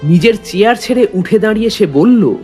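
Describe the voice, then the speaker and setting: Male companion-narrator acted by a man for suspense audio story, high, expressive, long reverb and music. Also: a little fast. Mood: suspenseful narration. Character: detective's loyal male companion narrating the mystery. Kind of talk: dramatic scripted narration.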